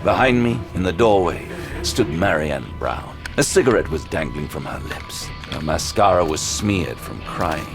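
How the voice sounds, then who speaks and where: An actor playing a haunted, wounded detective, narrating a grim horror audio drama with grave intensity. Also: male voice, low-pitched, expressive, measured pace, creaky, exaggerated voice. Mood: tense, grim. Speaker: narrator and lead character. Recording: loud music bed throughout.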